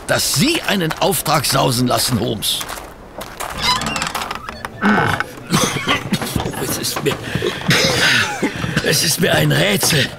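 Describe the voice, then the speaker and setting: An actor playing a sceptical gentleman sidekick and storyteller in a German radio play, dry, grumbling and ironic. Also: a little high, expressive, slow pace, vocal fry, long pauses, background noise, exaggerated voice.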